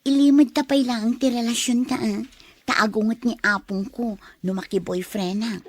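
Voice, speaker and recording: A woman's voice: on the high side, steady tone, normal speed, no echo. An actress playing a young student, a coaxing, secretive girlfriend who is after money, in a radio drama.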